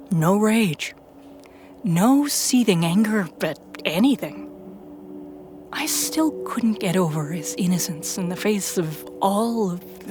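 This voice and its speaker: A woman reading scripted first-person narration for a fiction audio drama. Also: medium pitch. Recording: clear background noise and ambience.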